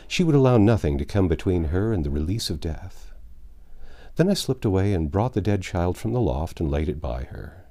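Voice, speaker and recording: A man narrating a classic novel aloud in a measured, dramatic storytelling manner. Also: sits low, big pitch swings, dark tone. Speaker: audiobook narrator. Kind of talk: expressive literary narration.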